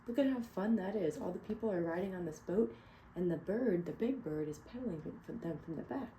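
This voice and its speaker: A woman reading a picture book aloud to children as a teacher, lively and encouraging, stopping to ask them questions. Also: lowish voice, brisk, slight background noise, low-quality recording.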